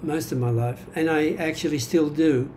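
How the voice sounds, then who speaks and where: A man in his seventies with an Australian accent, giving a reflective personal monologue from his notes in a halting, deliberate manner. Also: a little high, steady tone, dark tone, slight background noise. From Greek-born, grew up in Australia. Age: 70s. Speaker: vlogger sharing personal history. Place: small indoor room at home.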